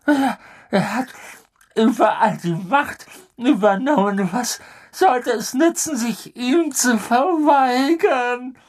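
A man's voice: extremely high pitch, takes it slowly, pitched above the performer's own voice, heavily acted. A man reading an audiobook, voicing a cowering, sobbing middle-aged male traitor with a whiny, pleading voice. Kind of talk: audiobook character voice.

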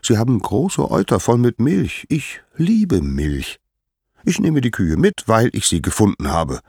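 A man's voice: lowish voice, very animated, measured pace, darkish tone, husky, dry recording, clean studio sound, pitched above the performer's own voice, full character voice. A man voicing a sly, playful little boy god who schemes and feigns innocence in a storybook tale. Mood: delighted, scheming. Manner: sly, wide-eyed, playful.

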